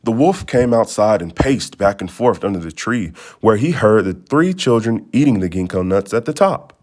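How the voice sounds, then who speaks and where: A man reading a children's storybook aloud in expressive narration. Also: on the low side, normal speed. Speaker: storybook reader.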